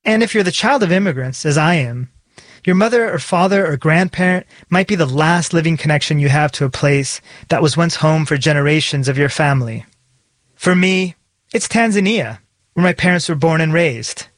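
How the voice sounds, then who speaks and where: A man's voice: a little high, animated, normal speed, no echo. A man hosting a podcast, reading a scripted, personal narration. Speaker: podcast host. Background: music.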